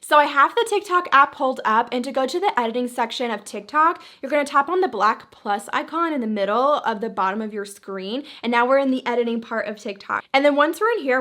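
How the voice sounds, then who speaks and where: Lady with a highish voice doing a chatty how-to, casual walkthrough for social media. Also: pushed and bright. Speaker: social media tutorial host. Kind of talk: casual step-by-step screen tutorial.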